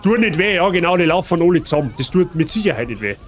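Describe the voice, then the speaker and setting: A man's voice: fairly high pitch, big pitch swings, quick pace, soft background music. A man with a Bavarian accent giving casual, chatty and playful live gaming commentary in colloquial speech. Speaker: streamer.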